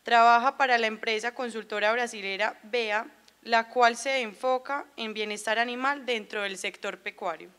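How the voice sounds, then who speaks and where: A woman's voice: a little high, steady tone, moderate pace, a bit bright, clean tone. A woman presenting at a conference, reading a formal speaker introduction from a lectern in a large auditorium. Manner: formal, read-out announcement. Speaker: event presenter.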